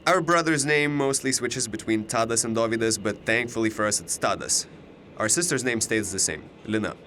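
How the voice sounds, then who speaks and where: A man's voice: mid-range voice, moderate pace, bright, forceful tone, a little hiss or hum, natural voice. A man playing a cocky, chatty young double who knows it all, with a glib, teasing way of talking in an audio drama. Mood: smug. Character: cocky, chatty, all-knowing alternate-universe male double of a student.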